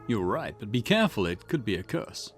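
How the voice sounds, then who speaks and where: A man voicing an excitable junior police detective, a reactive and casual sidekick in a mystery game. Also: fairly low pitch, brisk, soft background music. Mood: urgent, coaxing.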